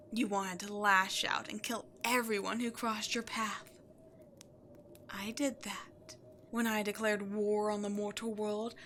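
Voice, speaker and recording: A woman playing an imperious, scheming demon queen in a dramatic audio roleplay, seductive and easily exasperated, moving between outbursts and breathy near-whispers. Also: medium pitch, pushed and bright, slight background noise. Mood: low, probing. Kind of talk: scripted audio roleplay, theatrical monologue to the listener.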